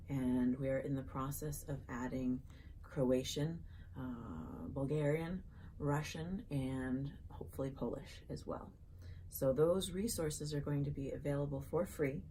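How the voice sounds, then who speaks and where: A woman children's author reading her picture book aloud and chatting in asides, with an animated storybook delivery addressed to young listeners. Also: low voice, slight background noise, low-quality recording.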